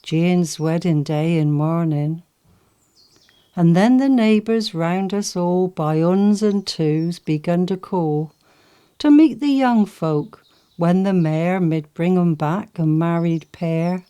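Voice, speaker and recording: A woman's voice: on the low side, takes it slowly, dark tone, smooth. A woman reciting dialect poetry. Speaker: poetry reader.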